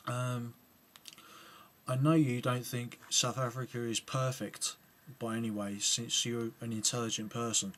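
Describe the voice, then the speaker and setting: English accent, man, video commentary, hesitant, restarts often, medium pitch. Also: little pitch movement, measured pace, a bit bright, slight background noise. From England. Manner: hesitant, many ums and restarts. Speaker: video blogger replying to another video. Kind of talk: casual spoken commentary to camera.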